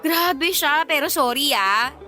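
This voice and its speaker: A mocking young Filipino best friend, very high and bright in voice. She is blunt, slangy and teasing, in radio drama style. Light music plays behind. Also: lively intonation, slow pace, full character voice. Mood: teasing, amused.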